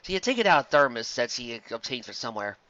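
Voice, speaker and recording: A man's voice: mid-range voice, sing-song, fast, pushed and bright, dull audio. A man reading fiction aloud as a dramatized narration, recorded close in a dry space. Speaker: story reader.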